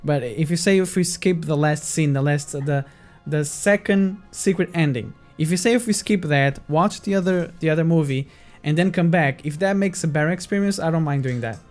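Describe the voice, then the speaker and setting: A man with a fairly high voice chats casually as a co-host. He talks in halting stretches, repeating himself, with faint music behind him. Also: darkish tone. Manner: halting, repeats phrases and circles back. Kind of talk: casual chat.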